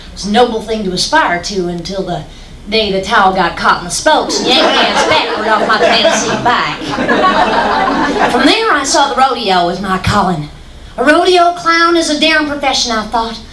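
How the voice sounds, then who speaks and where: A young woman from Texas telling a folksy, deadpan stand-up story with dark punchlines on a stage. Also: fairly high pitch. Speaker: stand-up comedian. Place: stage in an echoey hall. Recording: echoey hall, distant, faint hiss.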